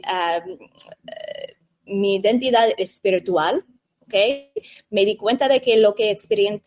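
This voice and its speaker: A woman's voice: medium pitch, darkish tone. An American woman whose first language is English, answering interview questions in a second language, hesitant and searching for words. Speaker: interview guest.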